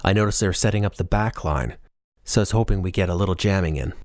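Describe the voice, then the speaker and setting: Deep-voiced man narrating an audiobook. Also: fast talker, darkish tone, no room sound, clean studio sound. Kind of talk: scripted first-person novel narration.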